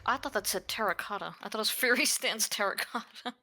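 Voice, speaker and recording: A woman's voice: mid-range voice, fast talker, bright, forceful tone. A woman chatting casually as a player putting together a tabletop game character. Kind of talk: casual chat.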